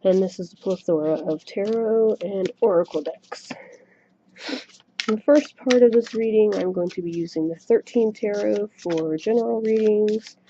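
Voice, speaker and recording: Soft-spoken woman, gravelly and dull. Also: mid-range voice, moderate pace, a little hiss or hum. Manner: chatty, self-conscious asides. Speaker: tarot reader. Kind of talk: casual solo reading to camera. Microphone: webcam microphone. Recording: dull lo-fi webcam mic recording.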